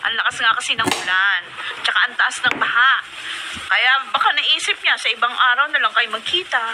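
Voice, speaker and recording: A woman's voice: on the high side, moderate pace, lightly acted. A woman playing a caring, concerned older sister in a radio drama, fussing and reassuring. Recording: noisy radio drama broadcast.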